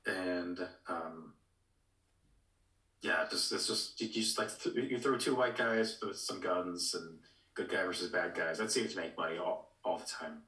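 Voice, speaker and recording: A man co-hosting a podcast, giving opinions in casual chat with halting, repeated words. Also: sits low, bright, forceful tone. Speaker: podcast co-host. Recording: echoey room, distant mic.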